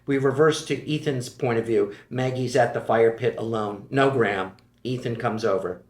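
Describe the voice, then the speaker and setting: A man's voice: mid-range voice, fairly even intonation, normal speed, darkish tone. Man reading stage directions. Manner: plain, matter-of-fact. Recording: roomy echo.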